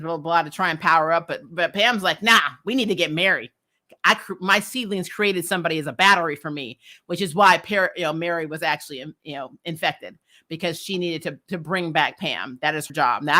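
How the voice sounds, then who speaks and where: Woman, sharp bright tone, casual livestream host, outspoken, sweary, laughter-prone, chatty review commentary. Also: fairly low pitch. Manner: blunt, opinionated, sweary; laughs often while talking. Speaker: host of a comics TV recap show.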